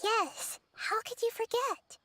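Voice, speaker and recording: A woman voicing a gentle, wise little girl deity in a video game, soft, measured and reassuring. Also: very high-pitched, full character voice. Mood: curious.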